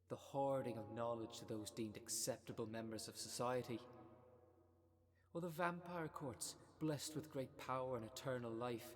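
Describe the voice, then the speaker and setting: A man playing a solemn, zealous cult leader in an audio roleplay, speaking with measured, grandiose earnestness. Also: medium pitch, natural voice. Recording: long reverb of a large hall.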